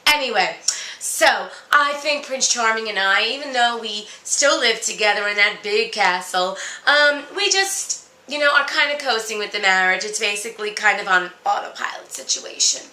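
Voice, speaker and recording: Lady doing a fed up princess for comedy, sassy n crude, sharp bright voice, echoey room. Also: on the high side, expressive, normal speed. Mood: breezy, boastful. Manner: sassy, gossipy, crude, chatty asides to the ladies. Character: jaded, brash, vain fairy-tale Cinderella, married woman. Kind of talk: comedic mock interview character monologue. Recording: roomy, echoey sound.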